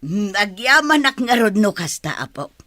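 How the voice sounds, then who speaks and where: A woman's voice: mid-range voice, sing-song, moderate pace, pushed and bright, no room sound, clean studio sound. A woman playing a kindly, chatty old grandmother with an Ilocano accent in a radio drama. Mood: agreeable.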